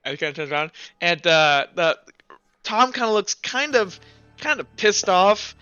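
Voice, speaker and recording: A man runs a tabletop roleplaying game in a high, bright voice. He improvises the scene haltingly, often restarting and repeating phrases. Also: animated, clear, resonant tone, no room sound, lo-fi sound, faint music behind. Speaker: game master.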